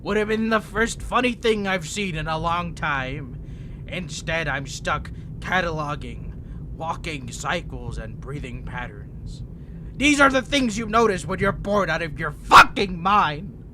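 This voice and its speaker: A man playing an insane, centuries-old vampire prisoner, theatrical, playful and menacing as he teases in a drawn-out ASMR roleplay monologue. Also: fairly high pitch, lively intonation, noisy background. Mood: teasing, bored. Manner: taunting, teasing, theatrical, giggling, drawn-out delivery.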